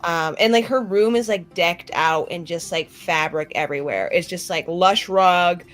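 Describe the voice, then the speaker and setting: A woman's voice: lowish voice, moderate pace. A woman playing a tabletop game, bantering and improvising in an informal, sweary way. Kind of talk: casual improvised banter and roleplay.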